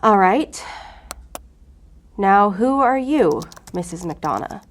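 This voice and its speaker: A woman's voice: mid-range voice, animated, slow. A woman playing a curt, defensive, foul-mouthed FBI agent in an audio drama. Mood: wry, focused. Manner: curt, profane, irritable. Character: volatile, defensive, obsessive female FBI agent.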